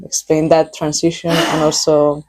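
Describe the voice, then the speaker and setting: A young woman hosts a casual podcast interview. Her voice sits low and mellow. She keeps the talk relaxed, with lots of fillers and easy laughter. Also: moderate pace, rough recording. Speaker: podcast host.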